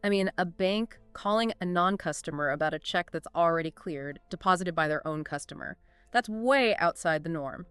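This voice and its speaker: A woman co-hosting a scripted discussion, telling the story with lively emphasis. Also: fairly low pitch, normal speed, clean tone, no room sound, soft background music. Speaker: podcast co-host. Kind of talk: scripted two-host discussion.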